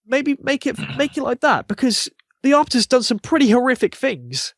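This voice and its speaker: A man's voice: very high voice, big pitch swings, moderate pace, fairly bright tone, dry recording, studio quality. A man speaking as a podcast guest in an eager, rambling, conversational fan style. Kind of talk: casual chat.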